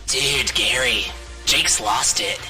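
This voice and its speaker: A man's voice: medium pitch, slow, sharp tone, far from the mic, soft background music. A man playing an immature, joking vigilante sidekick in a video game. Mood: juvenile, teasing. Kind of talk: cinematic video game dialogue.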